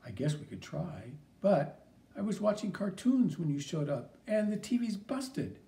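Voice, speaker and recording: An eager young boy talks in a raised, questioning voice, quick to doubt, as a man reading a storybook puts him on. His tone stays mellow, and his pitch jumps around a lot. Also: sing-song, creaky, recorded from a distance, exaggerated voice. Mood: boyish. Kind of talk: audiobook character reading.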